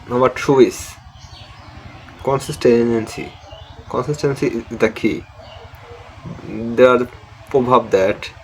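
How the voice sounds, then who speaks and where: A man's voice: mid-range voice, slight background noise, low-quality recording. A young man with a Bengali accent, a native Bengali speaker hosting a casual English lesson to camera, often stopping, repeating words and restarting sentences. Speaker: English learning channel host.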